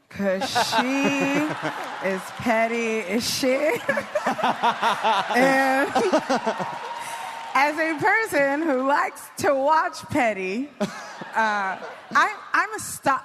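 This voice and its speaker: A woman's voice: on the high side, a bit bright. A woman comedian on a panel giving casual comic commentary in a playful, slangy way. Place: indoor stage before a live audience. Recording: echoey hall with background noise.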